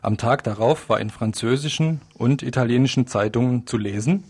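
A man's voice: mid-range voice, brisk, a little mellow, breathy edge. A man delivering a scripted, polemical political radio commentary with a sardonic edge.

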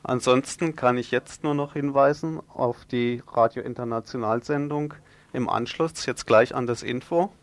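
Male radio presenter, mid-pitched. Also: normal speed, no room sound. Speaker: radio host and interviewer. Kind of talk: live radio news magazine presenting.

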